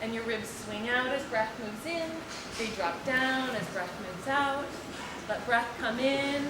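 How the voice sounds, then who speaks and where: A woman giving a lively presentation on posture and breathing to a live audience in a meeting room, guiding them through movements. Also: on the high side, unhurried, very noisy. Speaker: posture and breathing teacher giving a workplace talk.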